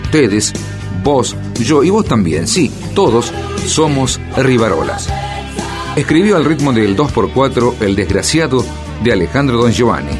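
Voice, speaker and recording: Narrador argentino teatral con guitarra fuerte de fondo. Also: male voice, medium pitch, big pitch swings, measured pace. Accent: Argentine, Rioplatense. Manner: mock-solemn, dramatic. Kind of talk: theatrical radio drama narration. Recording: loud guitar music behind.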